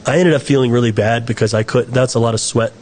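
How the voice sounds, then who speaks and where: An American man speaking at an ordinary middle pitch, loose and conversational. He wanders through his answers, restarting sentences and correcting himself as he goes. A relaxed guest on an interview. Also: fast talker, slight background noise. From United States. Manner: rambling, self-correcting storyteller. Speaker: guest, film director.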